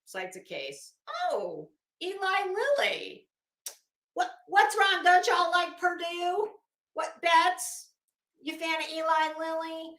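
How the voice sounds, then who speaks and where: High-voiced sarcastic woman in an echoey room. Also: animated, slow pace, a bit bright. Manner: sarcastic, taunting, emphatic. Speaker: online commentator reading a legal motion aloud. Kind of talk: dramatic reading with mocking asides.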